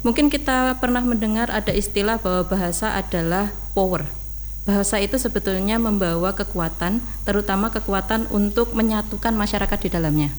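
A woman's voice: mid-range voice, a little fast, slight background noise. A woman speaking as a guest on a discussion panel, explaining her view.

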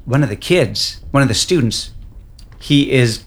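A man from Canada with a Canadian accent, answering interview questions about learning English in a relaxed, encouraging way. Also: medium pitch, measured pace. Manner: relaxed, encouraging, fillers like "you know". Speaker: English school head.

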